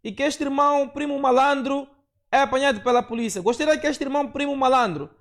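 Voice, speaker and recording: A man's voice: very high-pitched, fast talker. A male host of a live call-in show who gives short acknowledgments and puts probing questions to his callers.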